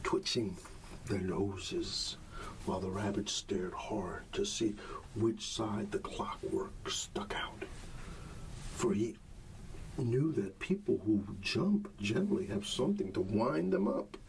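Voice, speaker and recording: A man softly reading a bedtime story in an ASMR style, soothing and often dropping into a whisper. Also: on the low side, expressive, slow, recorded from a distance, slight background noise, poor audio. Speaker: ASMR storyteller playing a dad.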